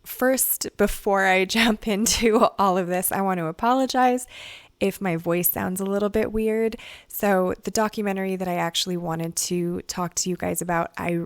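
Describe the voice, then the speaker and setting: A woman hosting a solo podcast, her voice raspy and hoarse from crying, talking in a chatty, rambling way. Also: medium pitch, steady tone, moderate pace, no echo, studio quality. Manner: chatty and rambling, many "like" and "you know". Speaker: podcast host. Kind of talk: casual solo podcast review.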